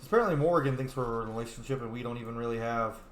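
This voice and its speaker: A man's voice: medium pitch, racing. A young man playing a video game and commenting casually in a chatty, opinionated way, often talking over the game. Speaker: player and commentator. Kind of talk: casual let's play commentary. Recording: echoey room with faint noise.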